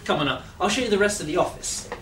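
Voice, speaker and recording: A flustered woman stammers through her lines in a comedy sketch, and her pitch swings widely. She sounds far from the mic in an echoey room. A faint room ambience sits underneath. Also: lively intonation, fast talker, a little hiss or hum. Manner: flustered, hesitant, stammering restarts. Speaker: comedy podcast performer. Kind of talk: scripted comedy sketch acting. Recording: roomy echo, distant mic, faint ambience.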